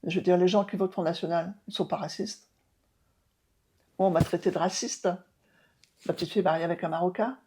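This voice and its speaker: A woman's voice: lowish voice, steady tone, quick pace, pauses often, dark tone, husky. A woman in her seventies, an outgoing mayor, answering interview questions emphatically with many hesitations and restarts. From France. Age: 70s. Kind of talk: local TV interview.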